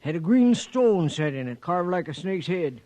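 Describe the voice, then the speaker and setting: High-voiced, lively cowboy sidekick. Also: male voice, moderate pace. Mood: urgent. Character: folksy cowboy sidekick, the hero's loyal partner. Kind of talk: 1950s radio western acting. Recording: old radio recording, faint noise.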